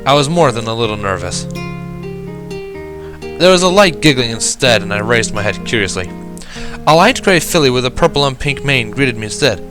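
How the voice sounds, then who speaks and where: A man reading a first-person story aloud in an expressive narrating style. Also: medium pitch, moderate pace, pauses often, music in the background. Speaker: fan fiction reader.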